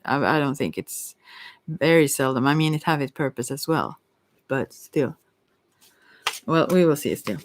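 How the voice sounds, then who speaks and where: A tarot reader talking casually and hesitantly, thinking aloud while answering questions, in a soft voice that at times drops to a whisper. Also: medium pitch, lively intonation, a little slow, pauses often, slight background noise. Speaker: tarot reader hosting a live reading.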